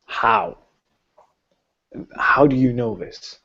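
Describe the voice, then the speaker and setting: A man's voice: medium pitch, fairly bright tone, muffled recording. Stern, blunt smith woman, played by a man. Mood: probing. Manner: terse, questioning, deliberate. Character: stern, blunt female smith and warrior elder.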